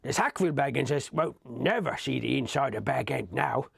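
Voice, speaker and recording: A man's voice: mid-range voice, lively intonation, normal speed, gravelly, fairly bright tone, slightly rough, dry recording, clean studio sound, full character voice. A man voicing a gossiping old male hobbit gardener who talks in folksy, rustic grammar.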